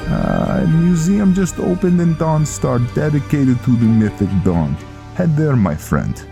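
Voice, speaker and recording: A man's voice: fairly high pitch, expressive, a little slow, gravelly, dark tone, faint music behind, voice pushed up. A man voicing a loyal, roguish vampire knight vanguard in a theatrical, earnest manner for a game roleplay. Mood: sheepish, coaxing.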